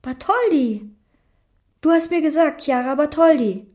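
An actress playing an obsessive, lonely young chambermaid who speaks in terse, intense lines and inner monologue in a radio drama. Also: female voice, sits high, expressive, a little slow, darkish tone. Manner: terse, intense, sometimes whispered.

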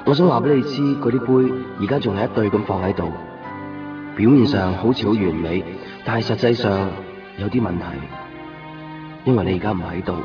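A male actor plays a melancholy man pining for a lost woman, with a lowish voice and a Hong Kong Cantonese accent. He speaks wistfully, as in a nineties radio drama, a little distant from the mic with music underneath. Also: a little fast, muffled recording, lightly acted. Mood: wistful longing. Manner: wistful, introspective. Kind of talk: radio drama monologue and narration.

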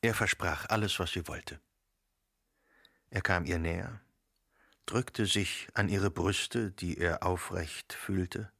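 Male radio drama narrator, creaky, raspy, scripted literary storytelling, measured, close studio mic. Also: low-pitched, a bit bright, dry recording. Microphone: close studio microphone.

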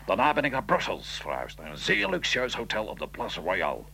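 A proud nineteenth-century revolutionary, tells of his assassination plot with theatrical emphasis. The voice is deep and forceful, with very lively intonation, in measured storytelling phrases. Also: gravelly, slight background noise, heavily acted. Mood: confident recounting. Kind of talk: radio drama acting, interview-like dialogue.

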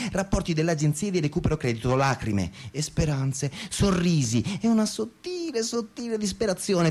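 An actor playing a cynical, sneering well-off banker in a profane, scornful radio drama monologue. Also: male voice, fairly high pitch, warm tone, close to an everyday voice. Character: cynical, well-off divorced banker, male, sneering and self-justifying. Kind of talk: theatrical first-person monologue, radio drama.

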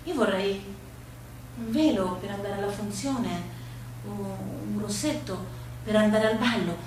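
A woman's voice: mid-range voice, measured pace, a little mellow, lightly acted. A woman on stage voicing a shy, dutiful young housemaid, hesitant and wistful. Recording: echoey room with hiss.

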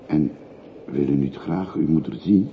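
An actor playing an earnest railway porter in a radio play, formal and deferential yet full of probing questions. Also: male voice, very deep voice, unhurried, gravelly, full character voice. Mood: urging. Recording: muffled phone-like old radio recording, faint hiss.

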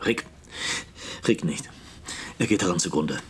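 A man's voice: sits low, expressive, unhurried, a little hiss or hum. An actor in a German radio drama playing a burdened middle-aged politician, an earnest, insistent and controlling father. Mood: grave.

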